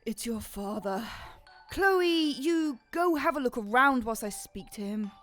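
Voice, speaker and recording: Weary, higher-voiced mother. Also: animated, measured pace, a bit bright, soft background music. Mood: firm. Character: weary mother of a difficult little girl. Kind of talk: radio drama acting.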